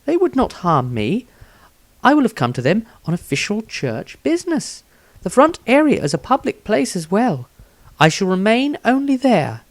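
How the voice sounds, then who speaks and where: Guy doing a young priestess for a fantasy audiobook, high soft earnest voice, bit anxious, formal wording, dry recording. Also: huge pitch swings, normal speed, no echo, exaggerated voice. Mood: calm, assured. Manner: careful, formal phrasing.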